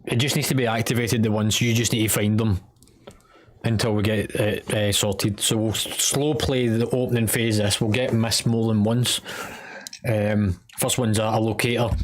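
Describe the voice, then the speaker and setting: A man's voice: fairly low pitch, flat delivery, normal speed. A Scottish man giving matter-of-fact, directive instructions to teammates as raid leader in an online game. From Scotland.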